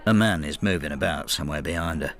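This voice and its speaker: Low-voiced, brooding older man. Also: fast, slight background noise. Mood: troubled reflection. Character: brooding older Frenchman, resentful, inward, estranged husband. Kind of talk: radio drama, intimate first-person narration and dialogue.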